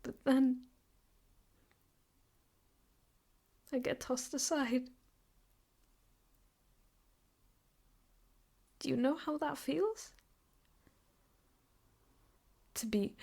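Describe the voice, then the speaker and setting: A woman acting as an obsessive yandere ex-girlfriend with a fairly high voice, in an ASMR roleplay. She speaks very slowly and haltingly, possessive and intimate, often dropping into a whisper. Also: mellow. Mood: wounded, bitter. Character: obsessive, possessive yandere ex-girlfriend holding her captive lover.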